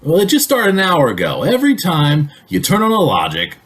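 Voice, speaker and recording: A man playing an excitable, needling workmate in an old-time radio comedy. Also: a little high, brisk. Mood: exasperated. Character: teasing, exasperated male workmate in radio comedy.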